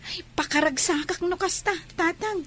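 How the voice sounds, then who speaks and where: A woman's voice: very high voice, moderate pace, fairly bright tone, slight background noise. An actress playing a kindly older mother who gives blessings and encouragement in a family drama. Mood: warm, encouraging.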